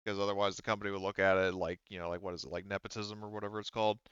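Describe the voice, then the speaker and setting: A man acting as game master in casual, improvised talk, halting as he thinks aloud. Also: fairly low pitch, little pitch movement, fairly bright tone, smooth, no room sound, highs cut off. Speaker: game master of a tabletop roleplaying game.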